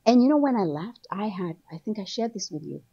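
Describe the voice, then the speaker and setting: A middle-aged woman from Somaliland, raised in Kenya and England, telling her story as an author guest in an animated, conversational way. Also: fairly quick, breathy edge. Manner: animated storytelling, rises high when excited. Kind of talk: conversational interview. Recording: dull lo-fi sound.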